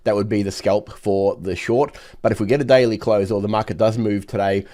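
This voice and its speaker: Fast-talking hoarse man. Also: on the low side, steady tone, mellow. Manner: brisk, explanatory, many fillers. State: hoarse, nearly lost voice. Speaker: market analyst host. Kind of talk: trading chart commentary.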